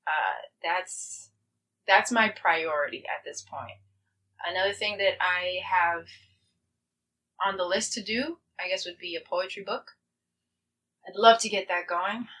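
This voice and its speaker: Bright-voiced woman, casual and enthusiastic. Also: on the low side, normal speed, low-quality recording. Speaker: featured playwright and poet, interview guest. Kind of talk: casual chat.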